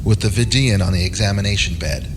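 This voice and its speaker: A man's voice: fairly low pitch, fast talker, background noise. A man narrating a scripted audio drama. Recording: loud music bed under narration.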